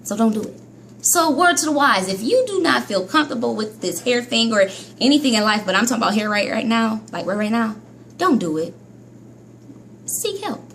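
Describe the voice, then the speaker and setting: A woman vlogging about hair care in a casual, chatty monologue, scolding herself and jumping between high and low pitch. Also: very animated, moderate pace, gravelly, fairly bright tone, a little hiss or hum. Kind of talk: casual vlog monologue to viewers.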